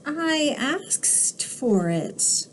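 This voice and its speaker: A woman voicing a small, calm, polite duckling in a storybook read-aloud, speaking softly and quietly. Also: sits high, drawn-out words, a little hiss or hum, pitched above the performer's own voice, full character voice, rough recording. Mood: polite, gentle.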